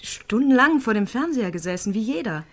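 An actress playing a candid, plain-spoken kindergarten teacher as a studio guest in a radio drama. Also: female voice, a little high, sing-song, brisk. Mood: uneasy, candid.

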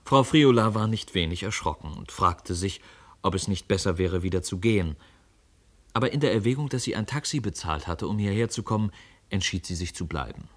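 A man narrating a literary radio play as an expressive, measured storyteller. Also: sits low.

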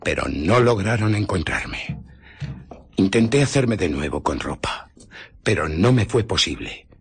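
Agitated invisible fugitive, Castilian Spanish accent, radio drama, low register, very animated, theatrical, hushed to anguished. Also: male voice, a little slow, breathy edge, heavily acted. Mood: agitated, urgent. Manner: theatrical, swinging from hushed murmurs to anguished outcries. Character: invisible man, scientist, agitated fugitive recounting his ordeal.

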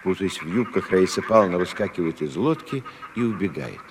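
Deep creaky-voiced male radio narrator. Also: expressive, a little fast, slight background noise. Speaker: narrator of stage directions. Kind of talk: scripted radio play narration. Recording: 1970s radio play, loud music behind.

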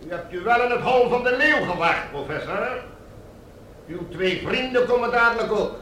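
An actor playing a smug, commanding ruler of a Mars colony in a radio drama, mockingly formal and menacingly polite. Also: male voice, high voice, animated, moderate pace, bright, forceful tone, roomy sound, slight background noise, rough recording.

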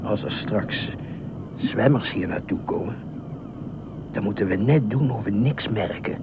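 A man's voice: on the low side, unhurried. Tinny noisy audio, eccentric middle-aged beach watchman, officious, rambling, indignant, radio play. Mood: confiding, self-important. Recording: noisy, tinny phone-like radio drama recording.

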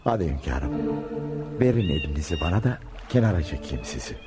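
A man's voice: very deep voice, big pitch swings, a little fast, music in the background. An actor playing a kindly, wise Sufi holy man in a radio play, courteous and knowing. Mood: calm, knowing. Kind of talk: Turkish radio theatre acting.

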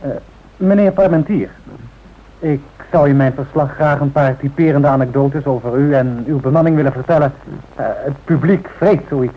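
A man's voice: medium pitch, normal speed, natural voice. An actor playing an eager, polite newspaperman in a radio drama, chatty in manner. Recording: dull, lo-fi old radio recording.

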